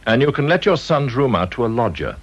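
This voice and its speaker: A man's voice: medium pitch, big pitch swings, fairly quick, lo-fi sound. An actor playing a male blackmailer in a radio drama, sardonic and politely threatening. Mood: taunting threat. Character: smooth, menacing male blackmailer, calmly mocking.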